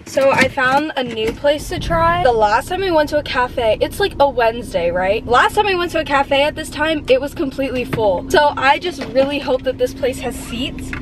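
A woman's voice: sits high, expressive, normal speed, few pauses, noisy background. A young woman, a college freshman, chatting casually to her phone camera from a parked car, filling her talk with "like". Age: young adult. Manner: chatty, lots of "like", upbeat. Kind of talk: casual vlog narration to camera.

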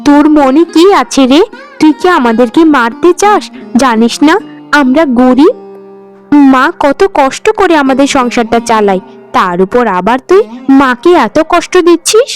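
A woman's voice: high-pitched, animated, moderate pace, soft background music. A woman playing a poor maid's young daughter in a cartoon, girlish and earnest in manner. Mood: scolding. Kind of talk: animated Bengali folk-tale cartoon acting.

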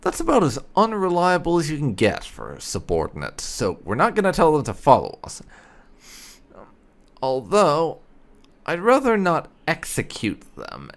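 A man's voice: on the high side, wildly swooping pitch, unhurried. A man giving casual, wry commentary as he hosts a roleplay video game playthrough. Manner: wry, sarcastic asides. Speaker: gamer, host of a roleplay playthrough.

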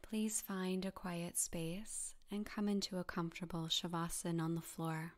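A woman softly guiding a meditation in a breathy, half-whispered voice, calm and soothing, with gentle instructions and long pauses. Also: on the low side. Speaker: yoga nidra meditation guide. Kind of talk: guided meditation narration.

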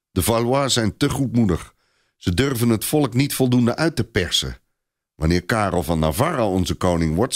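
A man's voice: on the low side, voice pushed up, close to an everyday voice. A man voicing a proud, reckless nobleman knight in a storybook reading, boastful and confident. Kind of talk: audiobook character dialogue.

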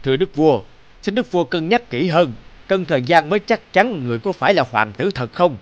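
An actor playing a treacherous royal chancellor in an animated fairy tale, formal and calculating. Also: male voice, a little high, fairly quick, lo-fi sound, close to an everyday voice. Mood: doubtful, formal.

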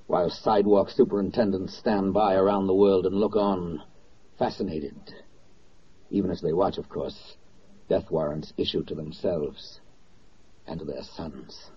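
An actor as a grave, sardonic narrator of a wartime 1940s radio drama, declaiming in a rhetorical style. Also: male voice, low voice, husky, full character voice. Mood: grave, hushed. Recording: dull 1940s radio record with music.

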